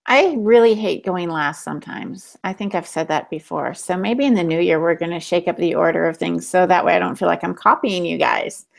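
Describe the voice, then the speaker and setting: A woman's voice: lowish voice, fairly quick, gravelly, hardly any pauses. A woman co-hosting a writing podcast, talking casually in a dry, matter-of-fact, teasing way. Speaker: podcast co-host and writer.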